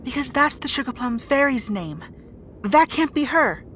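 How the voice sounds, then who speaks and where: A woman's voice: high-pitched, animated, moderate pace, a bit bright, a little hiss or hum. A woman playing a brusque, guarded young rat princess in an audio roleplay drama, bossy and conversational. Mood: brusque, demanding.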